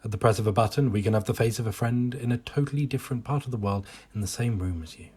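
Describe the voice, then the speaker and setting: Actor as husky, exasperated man. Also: medium pitch, fast, darkish tone, natural voice. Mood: musing. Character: exasperated man fed up with lockdown pressure. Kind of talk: scripted diary monologue.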